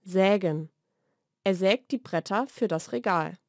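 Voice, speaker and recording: A woman reads short scripted vocabulary lesson sentences in a clearly enunciated, measured way. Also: medium pitch, a little mellow, no room sound, studio quality. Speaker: language lesson narrator. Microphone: studio voice-over recording.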